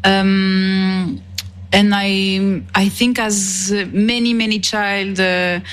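Halting, slow-speaking woman from Switzerland. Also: mid-range voice. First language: not English. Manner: halting, repeats and rephrases as she searches for words. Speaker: interview guest, musician. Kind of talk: reflective conversational interview.

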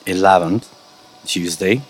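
Slow, very low-voiced male radio interviewer. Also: a little hiss or hum. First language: Slovenian. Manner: slow, halting questions in careful non-native English.

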